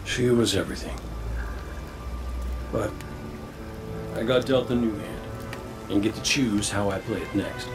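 An actor playing a world-weary, reflective gunslinger in a video game, folksy and heartfelt. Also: male voice, on the low side, unhurried, long pauses, close to an everyday voice. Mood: rueful, grieving. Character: world-weary male robot gunslinger, reflective, forgiving veteran. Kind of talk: scripted game dialogue. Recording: music behind.

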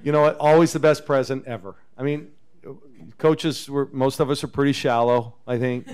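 A man in his fifties, a head coach, talking casually at a post-game press conference into a table microphone, relaxed and chatty. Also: mid-range voice, moderate pace, smooth. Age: 50s. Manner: relaxed, chatty, chuckles often. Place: press conference room with backdrop. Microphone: table microphone on a stand.

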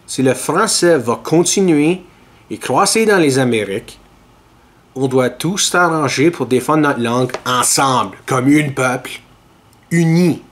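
A man, talking slowly and deliberately through an opinion monologue. His delivery is earnest and measured, with a mid-range voice. He speaks straight into a desk webcam in a small curtained room. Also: mid-range voice, animated. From Ontario, Canada. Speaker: podcast host.

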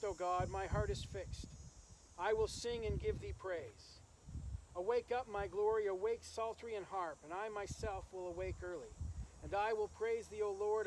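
A man, a minister, speaking solemnly and with pauses at a graveside service, reading scripture, praying and preaching outdoors. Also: high voice, low-quality recording. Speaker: minister officiating a funeral. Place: outdoors in an open rural cemetery. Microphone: camera several metres away. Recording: outdoor recording with insect and nature noise.

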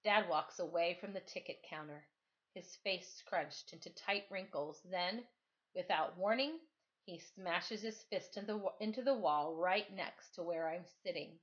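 A woman's voice: on the low side, measured pace, fairly bright tone. A middle-aged woman, a teacher reading aloud and talking to her pupils from her living room. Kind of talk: storytime reading of a novel, then informal address to pupils. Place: living room at home, on a couch. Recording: dull, lo-fi webcam sound.

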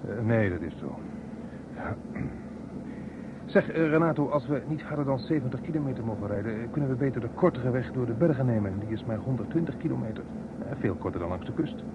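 An actor playing an anxious, hesitant male house guest in a radio play, speaking haltingly with repeated words. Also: medium pitch, expressive, lightly acted. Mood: hesitant, earnest. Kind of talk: Dutch radio drama acting. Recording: muffled, noisy old radio recording.

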